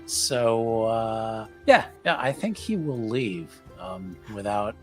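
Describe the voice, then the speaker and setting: A man's voice: lowish voice, lively intonation, slow, faint music behind. A man playing a tabletop game, talking through his character's choices hesitantly with many repeated words. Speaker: player. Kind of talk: casual in-character planning.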